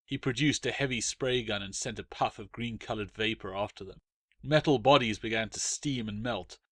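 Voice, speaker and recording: A man's voice: lowish voice, normal speed, a bit bright, no room sound, clean studio sound. A man reading his own short story aloud as a dramatic, scripted narration.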